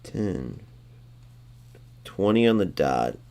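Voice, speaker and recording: Low-voiced man chatting through a game. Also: sing-song, very slow, darkish tone. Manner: thinks aloud, muttering sums to himself; chatty, addressing viewers as guys. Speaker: gaming channel host. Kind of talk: casual game commentary.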